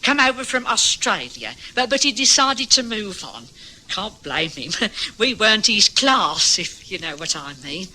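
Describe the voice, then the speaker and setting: A woman's voice: medium pitch, very animated, unhurried, bright, forceful tone, heavily acted, low-quality recording. An actress playing a chatty, flustered working-class landlady with a hesitant manner in a radio drama. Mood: chatty, surprised. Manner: chatty, hesitant, nervously giggly. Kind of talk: old-time radio drama acting.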